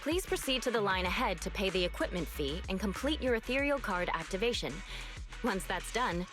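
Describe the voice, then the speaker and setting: An actress plays a polite, instructive receptionist in a video game. Her medium-pitched voice moves in lively tones, with music clearly behind it. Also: a little fast, lightly acted. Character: polite, businesslike female competition receptionist. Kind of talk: scripted game dialogue, explaining rules.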